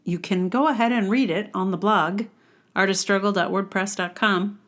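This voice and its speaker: A woman's voice: medium pitch, fairly even intonation, moderate pace, fairly bright tone. A woman hosting a podcast alone, chatting casually in a wry, rambling way as if thinking aloud. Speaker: podcast host. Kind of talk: casual solo podcast talk.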